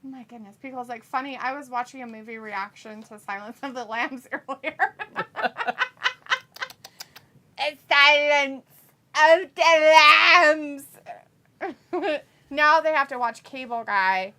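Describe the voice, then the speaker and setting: A woman's voice: high-pitched, takes it slowly, pauses often, pushed and bright. A woman co-hosting a wrestling watch-along, chatting casually, reading viewer comments aloud and often breaking into laughter.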